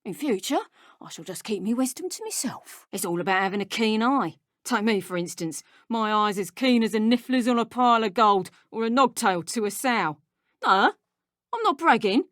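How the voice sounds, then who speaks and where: Cocky english working-class witch bandit for a game, high n bright, menacing, cheeky, bone dry sound. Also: expressive, moderate pace, no echo. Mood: scornful, indignant. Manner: colloquial, cheeky, taunting. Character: brash, menacing female dark wizard poacher, boastful, scornful. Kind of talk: scripted video game enemy voice lines.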